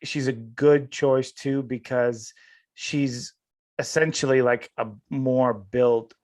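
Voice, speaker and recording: A man's voice: medium pitch, steady tone, a little mellow. A man hosting a casual interview, feeling his way through questions and often rephrasing them. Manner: halting, rephrases questions as he goes. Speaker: podcast host, interviewer.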